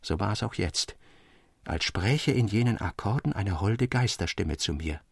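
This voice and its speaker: Romantic young dreamer for literary radio drama: fervent, old-fashioned delivery, husky voice, dry close studio sound. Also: male voice, on the low side, animated, moderate pace, no room sound, full character voice. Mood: reflective. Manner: fervent, rapturous, formal old-fashioned storytelling. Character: romantic young man fervently recounting a dreamlike infatuation to his friend.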